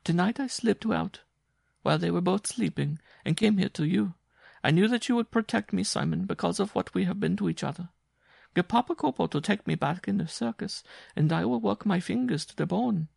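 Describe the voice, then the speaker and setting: A man's voice: brisk, vocal fry, darkish tone, slightly rough, no room sound, studio quality, full character voice. A man voicing a desperate, worn-out circus strongwoman. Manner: pleading, frightened, raised pitch.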